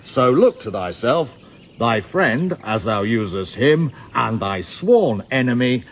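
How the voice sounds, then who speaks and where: A jolly, scheming knight, acted by a man, with a booming, mocking manner. His voice swings in a lively way between hushed plotting and grand declamation. The delivery is that of Shakespearean comedy, over a faint hiss. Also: slow pace. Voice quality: booming and mocking, with hearty laughter. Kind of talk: Shakespearean stage acting.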